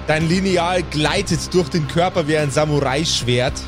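A man's voice: on the high side, a little slow. A man narrating an improvised tabletop roleplaying game as the game master. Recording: music behind the voice. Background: background music.

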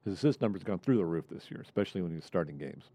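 A man's voice: sits low, expressive, racing, mellow, no room sound. A man hosting a sports roundtable in a conversational way. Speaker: host of a sports media roundtable.

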